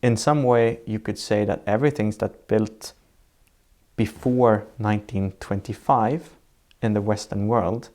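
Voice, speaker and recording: A man with a Swedish accent, a Swedish native speaker, answering interview questions as an architect in a measured, reflective way, laying out ideas step by step. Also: on the low side, fairly even intonation, a little mellow. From Sweden. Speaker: architect guest. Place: sitting indoors in Norway.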